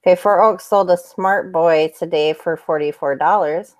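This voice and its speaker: A young woman co-hosting a casual livestream chat, chatty and quick to laugh. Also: lowish voice. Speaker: co-host.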